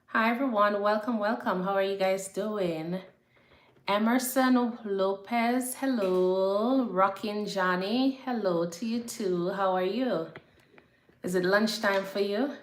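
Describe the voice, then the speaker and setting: A woman's voice: medium pitch, takes it slowly. A woman from Jamaica, a nurse, hosting a casual livestream and greeting viewers by name in a chatty, repetitive way. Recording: echoey room.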